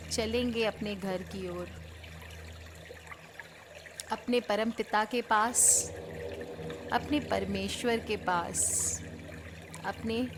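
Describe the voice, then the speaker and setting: An Indian woman leading a spiritual healing guided meditation in measured short phrases with pauses between them. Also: medium pitch. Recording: clear background noise of trickling water.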